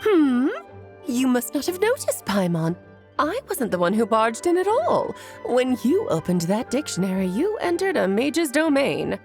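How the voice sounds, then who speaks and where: Whimsical mage aunt, high lilting voice, slight creak, teasing, breezily self-assured, theatrical video game character. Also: female voice, big pitch swings, unhurried, music in the background. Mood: teasing, cheerful.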